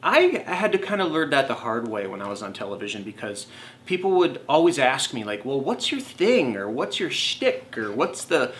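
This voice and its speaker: A man speaks in an earnest, conversational way and often uses fillers as he shares tips. His voice sits at a middle pitch. A faint noise can be heard behind him. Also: sing-song, moderate pace, a bit bright. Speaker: co-host, former TV meteorologist. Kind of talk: casual tips talk to camera.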